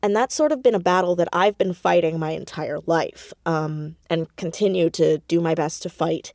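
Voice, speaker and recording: Casting: American woman, lowish voice, earnest interview guest, emphatic and thoughtful, dry close sound. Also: moderate pace, darkish tone, no echo. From United States, New Jersey suburb. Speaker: interview guest, audio drama creator. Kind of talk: reflective conversational interview.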